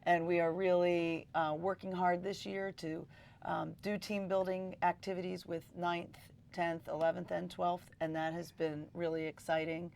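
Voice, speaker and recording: A woman's voice: on the low side, little pitch movement, a little slow, gravelly, darkish tone. A middle-aged woman teacher talking in an interview, enthusiastic and full of ums. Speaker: high school teacher.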